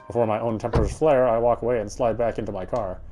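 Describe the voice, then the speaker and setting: Male narrator for horror game playthrough: medium pitch, brooding read-aloud delivery, music underneath. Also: fairly even intonation, fast talker. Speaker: game player reading story text. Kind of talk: reading game narration aloud, expressive first-person.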